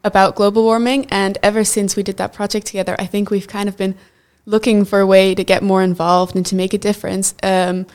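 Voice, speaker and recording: A woman's voice: mid-range voice, flat delivery, fairly quick, hardly any pauses, a little mellow, clean tone. A teenage girl from the Netherlands, answering questions as a student guest in an interview. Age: teens.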